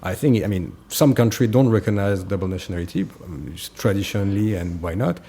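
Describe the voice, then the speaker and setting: A man from France with a French accent, answering informally as an interview guest in a halting way, searching for words. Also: low-pitched, mellow, slightly rough. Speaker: political candidate, interview guest.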